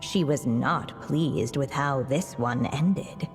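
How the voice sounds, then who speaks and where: A woman narrating a fairy tale in a video game with a measured storyteller cadence. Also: rumbling low, full character voice. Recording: long hall reverb. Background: faint game music.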